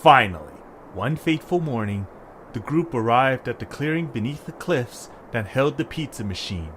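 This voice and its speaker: A man's voice: medium pitch, animated, normal speed, fairly bright tone. A man narrating a scripted audio story as its narrator. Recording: faint background noise.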